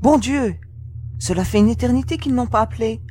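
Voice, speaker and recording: A woman playing an anxious, sharp-tongued young space crew leader in a French sci-fi audio drama. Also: a little high, fairly quick, a little hiss or hum, lightly acted. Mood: alarmed.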